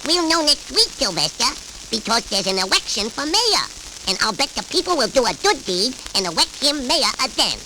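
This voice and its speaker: Casting: innocent, clever little cartoon bird, babyish speech, very high and lilting, old record. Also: male voice, big pitch swings, normal speed, noisy background, full character voice. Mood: eager. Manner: sweet, persuasive. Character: tiny, innocent, clever baby cartoon canary. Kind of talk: vintage children's cartoon record acting. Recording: vintage 78rpm record with music.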